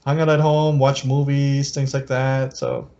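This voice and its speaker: A man co-hosting a podcast from home, chatting in a relaxed way and laughing often over a video call. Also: medium pitch, measured pace. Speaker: podcast co-host. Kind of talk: casual chat. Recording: dull lo-fi call audio.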